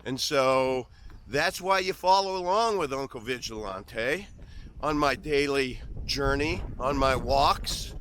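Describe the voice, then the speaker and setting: A man's voice: medium pitch, lively intonation, slow pace, a bit bright, slight background noise. A man in his fifties with a Midwestern accent, joking through a rambling vlog monologue on a walk outdoors, often trailing off and restarting. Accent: Midwestern American. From American Midwest. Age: 50s. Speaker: vlogger.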